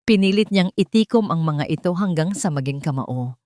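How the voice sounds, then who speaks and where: A woman with a Filipino accent narrating a scripted dramatic story in measured phrases with pauses. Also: low-pitched, lively intonation, warm tone, no echo, clean studio sound.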